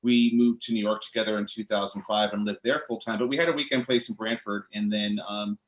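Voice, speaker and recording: A man from Connecticut, a bookstore owner, telling his story in a relaxed interview chat with easy fillers. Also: lowish voice, brisk, lo-fi sound.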